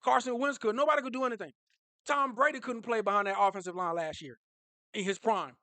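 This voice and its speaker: A young man ranting about his team, high-pitched and bright, animated and emphatic, often repeating a phrase to drive it home. He speaks close to the mic, and the sound is dry. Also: fast talker, no echo. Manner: animated, repetitive, laughing, emphatic. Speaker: sports fan vlogger. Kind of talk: casual fan reaction rant.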